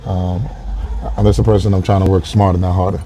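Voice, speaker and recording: A man in his forties from Queens on a dinner date, talking casually and hesitantly with lots of pauses and fillers. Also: low voice, flat delivery, noisy background. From Queens, New York. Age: 40s. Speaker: dater on a blind date. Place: restaurant dinner table.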